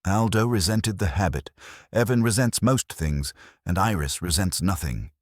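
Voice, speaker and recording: Male actor as wisecracking detective for audiobook mystery, rock-bottom pitch, dry close sound. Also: expressive, moderate pace, no room sound. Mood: businesslike. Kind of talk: audiobook character dialogue.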